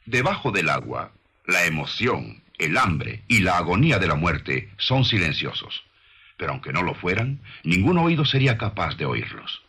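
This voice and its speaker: A man narrating a scripted documentary in a solemn, measured, rhetorical manner. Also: low-pitched, sing-song, sharp tone, husky.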